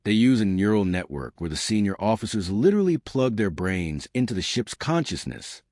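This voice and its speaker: A man's voice: fairly low pitch, big pitch swings, moderate pace, a little mellow, dry recording, clean studio sound. A man voicing a small, fierce woman starship captain in a sci-fi story, confident and sarcastic. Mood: cocky.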